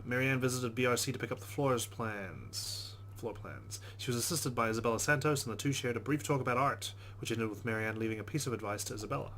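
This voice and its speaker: A man's voice: mid-range voice, quick pace, hardly any pauses, pushed and bright, slight background noise. A man playing through a story game, reading its narration aloud with casual asides. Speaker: let's play host.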